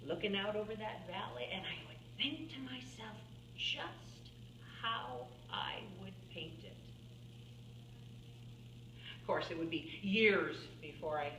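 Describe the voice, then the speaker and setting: Casting: actress as elderly rural woman painter for a stage monologue; unhurried, folksy, wry, halting delivery, recorded in a roomy space. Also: mid-range voice, expressive. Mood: fond reminiscing. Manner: halting, musing, with small chuckles and pauses. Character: elderly folksy farm-woman painter, plainspoken and wryly reminiscing. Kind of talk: theatrical monologue in character. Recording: roomy, distant mic, faint background noise.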